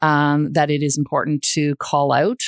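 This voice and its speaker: A Canadian woman in her sixties speaking as a podcast guest, explaining things in a measured, thoughtful way. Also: low voice, little pitch movement, dry recording, studio quality. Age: 60s. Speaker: podcast guest, retired journalist. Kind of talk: thoughtful conversational discussion.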